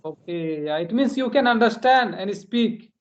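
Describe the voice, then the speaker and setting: A man's voice: high-pitched, animated, normal speed, lo-fi sound. A man from India chatting casually in as a practice conversation, hesitant and repeating phrases as he goes. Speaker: host.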